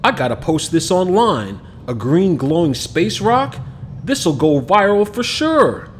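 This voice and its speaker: A man voicing an excitable, tender ten-year-old city boy for a storybook, eager and exclamatory, over music. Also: high voice, slow pace, slight background noise, voice pushed up, exaggerated voice. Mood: excited. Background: background music.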